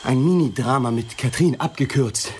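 An actor playing a harried, pleading bridegroom in a radio drama, telling of family quarrels in an agitated, halting way. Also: male voice, medium pitch, a little hiss or hum, lightly acted. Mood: exasperated. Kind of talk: radio drama monologue with mimicked dialogue.

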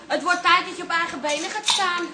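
An actress playing a friendly, excitable and easily flustered barmaid in a radio drama. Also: female voice, very high voice, fairly quick, heavily acted. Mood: firm, evasive. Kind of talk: modern radio drama acting.

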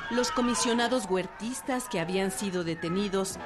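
A woman's voice: mid-range voice, moderate pace. A woman with a Mexican accent narrating history from a script in a radio drama. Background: music.

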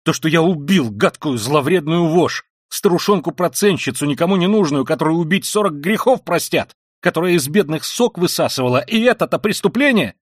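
Male reader as a feverish, proud young man: hushed and weary, dry close sound. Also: fairly low pitch, normal speed, no room sound, lightly acted. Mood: furious. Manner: quiet and weary, then bursts into shouting rage. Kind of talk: audiobook reading of a classic novel.

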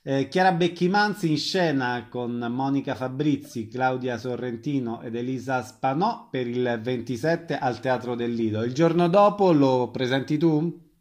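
A man with a medium-pitched voice works as a radio interviewer. He speaks informally and conversationally, keeping his questions brief. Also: unhurried, darkish tone.

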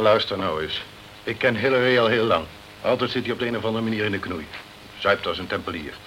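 An actor playing a sceptical, self-protective British consul in a crime radio play, with a condescending, chummy, blustering way of talking. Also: male voice, fairly low pitch, a bit bright, slight background noise, low-quality recording. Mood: dismissive.

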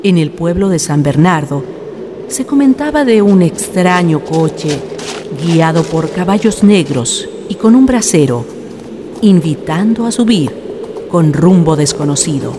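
A man's voice: fairly high pitch, lively intonation, unhurried, noisy background. A man narrating a scripted horror radio drama in a solemn, dramatic manner. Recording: radio play with music underneath. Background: music.

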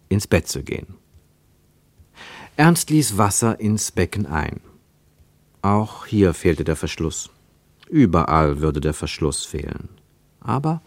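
A male narrator reads literary prose for a radio play. His lowish voice moves with lively intonation and dramatic, deliberate phrasing, recorded very dry in a studio. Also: no room sound.

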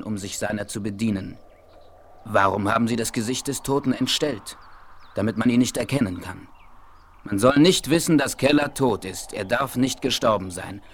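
An actor playing a noble, observant Apache chief in a 1970s radio play, speaking in a formal, measured, declamatory way. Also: male voice, medium pitch, natural voice. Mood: calm, resolute. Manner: formal, declamatory, measured, addresses others in third person. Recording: noisy 1970s vinyl radio play rip.

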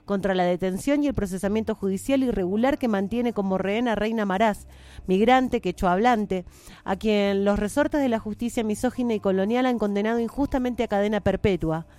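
A woman's voice: medium pitch, a little fast, a little mellow. Activist, woman, earnest declamatory reading, mid-range voice. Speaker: feminist activist. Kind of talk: reading a protest manifesto aloud.

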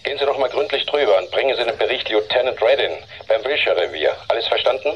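An actor playing a brisk, curt police lab chief giving clipped orders over the phone in a radio drama. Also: male voice, medium pitch, expressive, a bit bright, muffled recording, lightly acted.

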